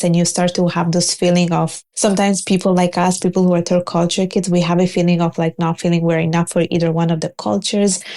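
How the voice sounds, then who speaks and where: Woman, 30s, grew up in Italy, Italian native; low, even delivery, earnest guest telling her career story. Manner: earnest, searching for words with many restarts. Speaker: guest, finance professional. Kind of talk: interview answers.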